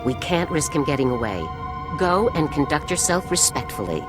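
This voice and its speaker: A woman playing a stern, authoritative military general, formal and measured, in a video game. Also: low-pitched, big pitch swings. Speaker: commanding officer. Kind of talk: video game voice acting, briefing. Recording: music behind the voice.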